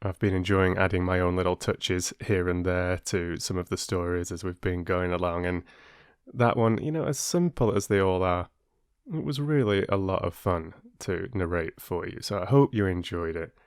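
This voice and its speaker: A man narrating as an audiobook storyteller, with expressive, deliberate and dramatic phrasing, close to the microphone. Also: deep voice, clear, resonant tone, no room sound. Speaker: storyteller and channel host.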